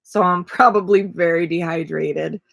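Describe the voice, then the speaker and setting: A woman in her forties with a raspy voice, chatting casually and rambling on a live stream from a small camper. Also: lowish voice, normal speed, dry recording. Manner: relaxed, rambling, self-deprecating. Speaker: vlogger and ukulele player. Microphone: webcam at desk.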